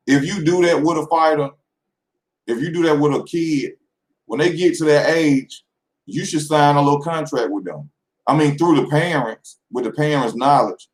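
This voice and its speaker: Rambling young man in an echoey room. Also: medium pitch. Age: 20s. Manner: loose, rambling and slangy, addressing viewers directly. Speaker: boxing channel host. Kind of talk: casual vlog monologue.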